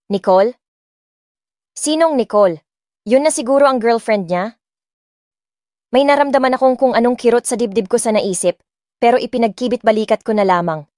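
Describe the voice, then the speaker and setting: A woman's voice: fairly high pitch, no echo. Filipino woman narrating, close and dry. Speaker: audiobook narrator. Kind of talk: dramatic first-person scripted narration. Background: soft music.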